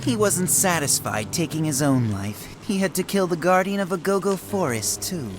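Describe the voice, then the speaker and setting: A man's voice: on the high side, expressive, normal speed, close to an everyday voice. An actor playing a haughty, callous villainous nobleman in a video game, with a disdainful drawl. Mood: scornful. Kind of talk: video game cutscene acting. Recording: music behind the voice.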